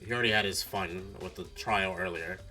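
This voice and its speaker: A man's voice: low-pitched, fairly even intonation, fairly quick, bright, forceful tone, soft background music. A man streaming a game with casual commentary, reasoning aloud in a blunt, speculative way with casual swearing. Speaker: streamer playing a mystery game.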